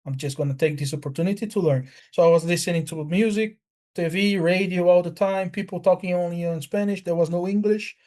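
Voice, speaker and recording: A man from Brazil with an Italian accent, a native speaker of Italian and Portuguese, chatting casually in a halting, blunt way. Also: high voice, a little mellow. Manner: halting, repetitive, blunt with swearing. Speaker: language learner. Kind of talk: casual chat.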